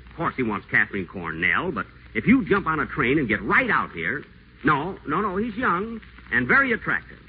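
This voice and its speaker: An actor playing an acerbic, pompous radio celebrity who schemes, in a 1940s radio play. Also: male voice, medium pitch, breathy edge. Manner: sardonic, theatrical, wheedling on the phone. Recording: tinny old radio broadcast, faint hiss.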